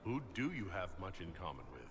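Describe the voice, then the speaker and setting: Confident, wry fantasy game leader, deepish and expressive, with roomy echo. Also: male voice, brisk, a little hiss or hum. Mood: wry, assured. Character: confident, wry male heroic inquisitor. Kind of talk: fantasy video game dialogue.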